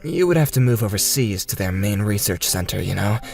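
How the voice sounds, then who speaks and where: Formal low-voiced male recruiter acted by a man. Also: animated, vocal fry, darkish tone. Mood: persuasive. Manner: formal, measured. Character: smooth, businesslike male corporate recruiter. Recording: music bed behind the voice.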